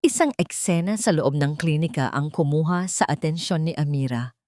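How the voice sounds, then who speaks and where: A woman narrating a scripted dramatic story in a sentimental, deliberate way. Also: low-pitched, animated, darkish tone, no echo, studio quality.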